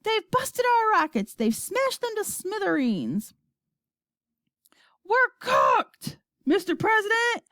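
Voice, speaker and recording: Woman acting out a frantic shouting male astronaut, high-pitched. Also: huge pitch swings, slow pace, no room sound, clean studio sound, exaggerated voice. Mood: despairing.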